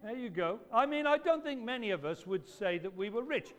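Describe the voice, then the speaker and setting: A man with a loud voice, preaching an animated talk to children at a church service. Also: extremely high pitch, a little fast. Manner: lively, emphatic, storytelling to children. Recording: echoey hall reverb.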